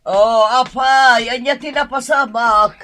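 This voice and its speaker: A woman's voice: high-pitched, fairly even intonation, unhurried, sharp tone. An actress playing an easygoing, affectionate mother with an Ilocano accent in a comedy radio drama. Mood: teasing, unbothered.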